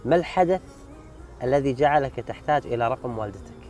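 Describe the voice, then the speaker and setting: Very fast-talking male host narrator. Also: medium pitch, lively intonation, a little mellow, faint music behind. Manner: rapid, earnest narrating. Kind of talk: documentary narration.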